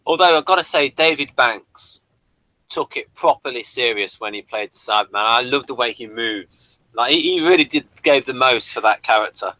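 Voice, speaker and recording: A man's voice: mid-range voice, expressive, moderate pace, pushed and bright, no echo, rough recording. A man hosting a review show in casual, unscripted chat, rambling and stop-start as he thinks aloud.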